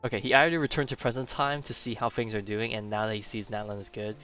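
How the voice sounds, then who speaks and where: A man's voice: fairly low pitch, steady tone, fast, fairly bright tone, clear, resonant tone, lo-fi sound, faint music behind. A man streaming a game, giving casual live commentary as he muses aloud informally.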